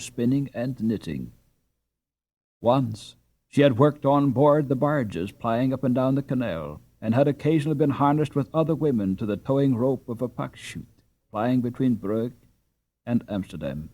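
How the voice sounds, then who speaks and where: A man's voice: medium pitch, animated, normal speed, warm tone. A man reading a classic children's novel aloud as scripted audiobook narration.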